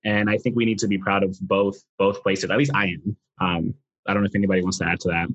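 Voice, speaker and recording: Young male from Venezuela. Lower register, earnest conversational delivery, close dry slightly dull audio. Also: little pitch movement, fast talker, dry recording. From Venezuela, later United States. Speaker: podcast participant. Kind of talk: casual reflective conversation.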